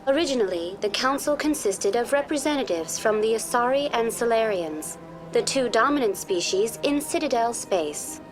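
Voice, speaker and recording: Casting: actress playing courteous female AI tour guide for a sci-fi game, high pitch, formal scripted delivery, music underneath. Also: animated, normal speed, lightly acted. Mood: polite, informative. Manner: formal, courteous, precisely worded. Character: polite female virtual intelligence tour guide, calm and programmed. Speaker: virtual guide. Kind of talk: scripted informational video game dialogue.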